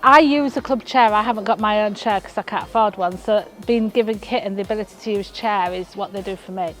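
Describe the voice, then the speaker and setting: A woman's voice: mid-range voice, brisk, soft background music. A woman talking casually in an interview as an amateur player. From Yorkshire, England. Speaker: amateur wheelchair rugby player.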